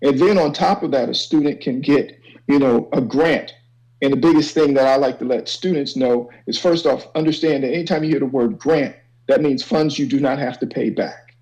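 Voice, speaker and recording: A man's voice: medium pitch, moderate pace, a little mellow, rough recording. A man speaking as a guest advisor, explaining things informally and instructively, often checking that listeners follow. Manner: instructive, checks understanding with "okay" and "you know". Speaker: college financial aid advisor, guest. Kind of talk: informal explanatory panel talk.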